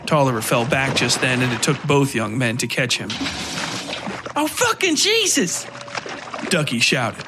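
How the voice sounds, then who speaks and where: A man narrating scripted dark horror fiction as a podcast storyteller. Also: mid-range voice, fairly even intonation, moderate pace, hardly any pauses, music in the background.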